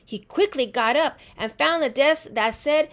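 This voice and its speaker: A woman reading a children's storybook aloud in an expressive, deliberate teacher's way. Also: high-pitched. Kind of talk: animated storybook read-aloud.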